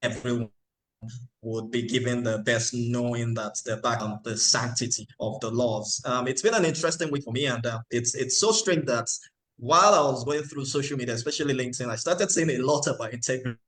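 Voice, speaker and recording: A man hosting a webinar panel, introducing speakers in a relaxed, chatty way with fillers and light jokes, heard over a video call. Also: mid-range voice, a little fast, rough recording. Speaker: webinar moderator.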